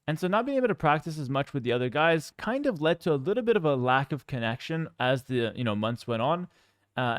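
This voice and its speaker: A man talks candidly, as a creator addressing his viewers. His delivery is very quick and his voice is clean and smooth, at a medium pitch. The sound is dry and close, and he explains himself in a reflective, conversational way. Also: no echo. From Canada. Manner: measured, candid, explanatory, with frequent 'you know' asides. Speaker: professional gamer and content creator. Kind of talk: personal talk to viewers, reflective monologue.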